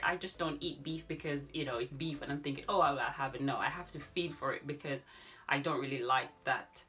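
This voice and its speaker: A woman in her thirties with a Jamaican accent, chatting casually to camera in an animated way as she answers questions. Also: lowish voice. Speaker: YouTube vlogger. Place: small furnished room at home.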